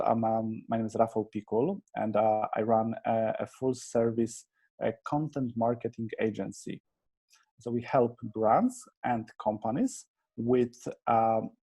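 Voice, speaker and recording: A man's voice: medium pitch, warm tone, slightly rough. A man in his thirties from Poland with a Polish accent, a guest talking through his career in an earnest, hesitant way with many restarts. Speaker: interview guest, agency founder. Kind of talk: conversational interview.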